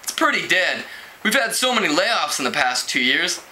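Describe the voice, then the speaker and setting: An open, friendly young tech worker read by a man from a novel talks casually and easily. His tone is very bright with lively pitch, over a noisy recording. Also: normal speed, heavily acted. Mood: resigned. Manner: casual, easygoing. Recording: clearly noisy home recording.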